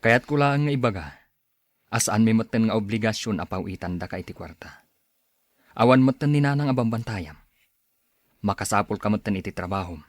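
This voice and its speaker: A man's voice: lowish voice, animated, a little fast, long pauses, no room sound, lightly acted. A man playing a firm, blunt older brother in a Filipino drama, with a Filipino accent. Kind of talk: Filipino radio-style drama acting.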